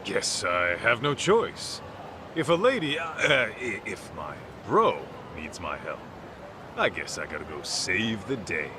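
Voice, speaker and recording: A man's voice: lowish voice, big pitch swings, a little slow, noisy background. An actor playing a burly, easygoing former yakuza tough guy in a video game cutscene, slangy and sardonic. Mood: cocky, easygoing.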